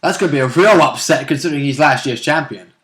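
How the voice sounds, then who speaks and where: A man's voice: on the high side, quick pace, a bit bright. A man co-hosting a tabletop roleplay vidcast, chatting casually and reacting informally to the game. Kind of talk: casual chat.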